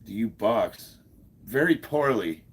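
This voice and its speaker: A man in his fifties with a deep, gravelly voice, stuffed up, chatting casually on a livestream and answering viewer comments with frequent chuckles. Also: lively intonation, takes it slowly. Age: 50s. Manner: laid-back, chuckles often, many fillers. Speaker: livestream host.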